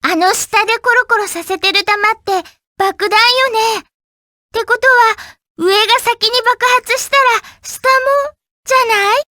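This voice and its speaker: Very high-pitched, girlish game companion. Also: fairly quick, bright, forceful tone, dry recording, clean studio sound. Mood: curious, helpful. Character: very young-sounding perky girl game companion. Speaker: in-game hint-giving companion. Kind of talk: Japanese video game voice acting.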